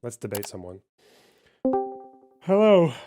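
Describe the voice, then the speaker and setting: A man's voice: mid-range voice, sing-song, slow pace, mellow, faint music behind. A man hosting a heated online debate from his stream, combative and pressing, often cutting in to demand answers.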